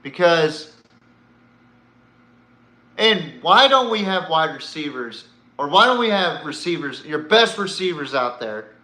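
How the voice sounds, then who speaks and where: A man's voice: on the high side, sing-song, unhurried, pauses often, sharp tone, roomy sound. A man hosting a sports podcast, giving casual, opinionated commentary in a blunt way, swearing and throwing out rhetorical questions.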